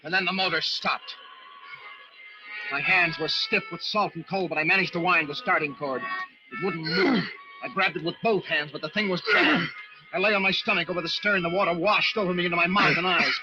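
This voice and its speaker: An actor playing a desperate lighthouse keeper narrating a storm ordeal in an intense, breathless old-time radio drama style. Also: male voice, sits high, a little fast, lightly acted. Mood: tense, urgent. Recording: muffled old radio recording with dramatic music.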